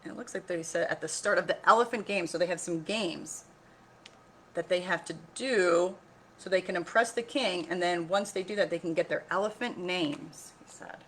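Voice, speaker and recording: A woman reading a picture book aloud as a teacher, expressive and instructive, often pausing to put questions to listeners. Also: on the low side, slight background noise. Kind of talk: read-aloud lesson for children with questions to listeners.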